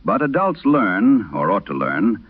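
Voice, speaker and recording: A man narrating a vintage radio horror drama as its host, reading in a grave, deliberate, dramatic manner. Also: on the low side, big pitch swings, husky, dry recording. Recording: narrow-band vintage radio sound.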